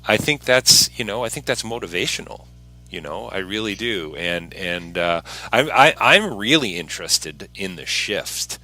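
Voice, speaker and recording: A man's voice: on the low side, animated, a little slow, sharp tone, poor audio. A man hosting a casual interview podcast, talking in an earnest, encouraging way.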